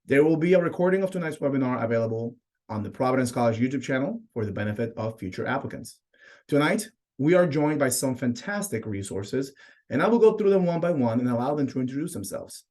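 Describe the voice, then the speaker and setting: Young man, admission counselor, measured formal read, mid pitch, video call from an office. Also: expressive, darkish tone. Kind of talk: scripted webinar introduction. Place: small office. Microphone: laptop mic on video call.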